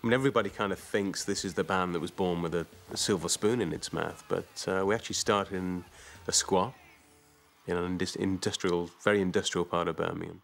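A man recalling his band's early days in a relaxed, reflective way in a documentary interview. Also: low voice, brisk, gravelly.

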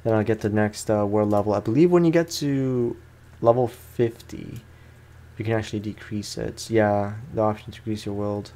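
A man's voice: fairly low pitch, moderate pace, mellow. A man giving casual, rambling, slangy commentary as he plays a video game for his viewers. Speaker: gaming streamer. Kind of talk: casual let's play commentary.

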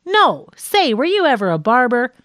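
Radio comedian played by a female narrator, wry, faintly impatient, high and lively, dry studio sound. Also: moderate pace, dry recording. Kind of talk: reading quoted comedy script in an audiobook.

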